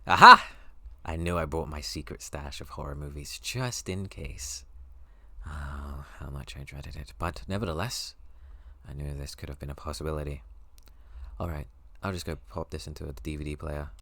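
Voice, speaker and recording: A man playing an eager, very deep-voiced best friend. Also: normal speed, bright, forceful tone, dry recording, exaggerated voice. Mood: excited, playful. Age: young adult. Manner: eager, teasing, affectionate, bashful. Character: excitable, playful young male best friend with a crush. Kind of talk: ASMR boyfriend roleplay.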